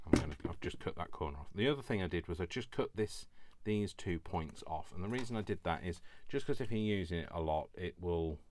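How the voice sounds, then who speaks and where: A man from the UK, slightly hoarse from allergies, rambling and stumbling over his words as he explains a craft step by step. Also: low voice, expressive, a little fast, hardly any pauses, background noise. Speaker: crafting tutorial host. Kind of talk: casual craft tutorial.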